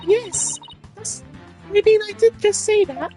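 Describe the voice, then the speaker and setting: A man voicing a soft, hesitant young female defendant in a courtroom game. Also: very high-pitched, takes it slowly, voice pushed up. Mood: timid. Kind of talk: dramatic visual novel courtroom reading. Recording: game music behind the voice.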